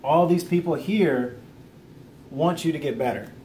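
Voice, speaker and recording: A man's voice: fairly high pitch, a little slow, dark tone, slight background noise. An American man giving an informal instructional vlog talk in an earnest, plain-spoken, encouraging manner. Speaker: video host teaching self-help lessons.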